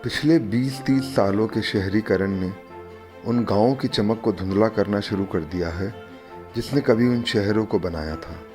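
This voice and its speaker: A man narrating a documentary in a solemn, measured way, opening with recited verse. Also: lowish voice, a little mellow. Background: background music.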